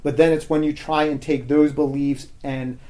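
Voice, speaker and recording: An American man talking casually and argumentatively as a podcast guest, halting often and leaning on filler words. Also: on the high side, little pitch movement, mellow. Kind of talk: casual opinionated conversation.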